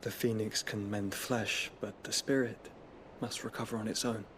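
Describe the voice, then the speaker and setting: A cultured young nobleman acted by a man with a fairly low voice, grave and gentle. His fantasy game lines are formal and measured, over a faint rumble. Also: level tone, close to an everyday voice. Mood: grave, curious. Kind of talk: video game drama acting.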